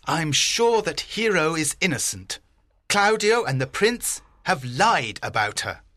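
Man acting an indignant old father, sharp and emphatic. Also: a little high, sing-song, measured pace, no echo, full character voice. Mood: resolute. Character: angry, distraught old father defending his daughter's honour. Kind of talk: dramatised audiobook acting.

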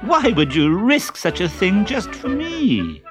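A storyteller voicing Columbine, an excitable young woman with a very high, breathless voice. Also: slow, over music. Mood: grateful.

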